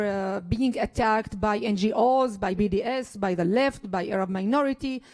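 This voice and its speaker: A woman's voice: fairly high pitch, a little mellow. Earnest woman, impassioned. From Israel. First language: Arabic. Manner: impassioned, earnest, frequent restarts. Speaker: politician on a panel. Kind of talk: panel discussion.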